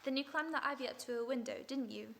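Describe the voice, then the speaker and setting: A woman playing a sharp-witted female detective in an audio drama, questioning people with dry, ironic politeness. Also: high voice, quick pace, creaky. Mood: probing. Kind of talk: vintage-style radio drama acting.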